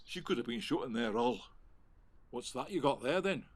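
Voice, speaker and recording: A man's voice: mid-range voice, expressive, normal speed, close to an everyday voice. A man playing a working-class husband in an audio play, with a colloquial, homely, coaxing way of talking. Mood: relieved, chatty.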